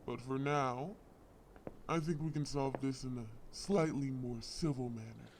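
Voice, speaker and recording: An actor playing a smug, lovesick crime lord in an audio roleplay, drawling and long-winded with polite menace. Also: male voice, medium pitch, measured pace, creaky, a little hiss or hum. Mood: smug, menacing. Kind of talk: scripted audio roleplay acting.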